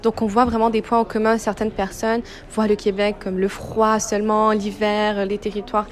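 This is a young woman, speaking as a youth organization representative. She gives explanatory answers in an interview, at a middling pitch for a woman. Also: little pitch movement, normal speed. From Quebec, Canada.

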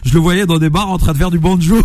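A man's voice: fairly high pitch, quick pace, darkish tone, faint music behind. A man from France hosting a morning radio show, teasing his guests and filling gaps with hesitations. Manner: joking, teasing, many hesitations. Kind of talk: casual radio interview.

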